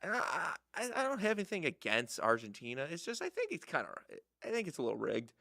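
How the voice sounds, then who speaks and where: A man's voice: a little high, huge pitch swings, fast talker, gravelly, bright, forceful tone, no room sound, clean studio sound. A young man co-hosting a casual sports chat, joking around in a goofy, offhand, playfully naive way. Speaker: podcast co-host.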